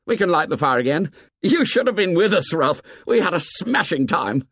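A man voicing an excited boy who leads a hunt, gushing and boastful about it. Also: on the high side, normal speed, husky, no room sound, clean studio sound, pitched above the performer's own voice, full character voice. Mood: exultant.

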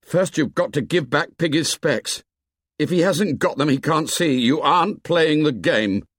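A man voicing a desperate, earnest schoolboy leader, pleading and shouting in a raised voice. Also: a little high, expressive, unhurried, husky, dry recording, studio quality, voice pushed up, exaggerated voice. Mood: urgent, pleading. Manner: pleading, rising to angry shouts.

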